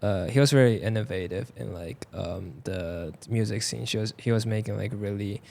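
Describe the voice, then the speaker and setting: A man whose first language is Mandarin, with a Taiwanese Mandarin accent, chatting casually as a podcast guest in a clothing store, halting with many fillers and dropping in jokes. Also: fairly low pitch, gravelly, warm tone, a little hiss or hum. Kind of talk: casual chat.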